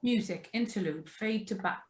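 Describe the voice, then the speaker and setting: A woman's voice: medium pitch, normal speed, slight background noise. A woman reading out scripted sound-effect directions during a live play reading on a video call.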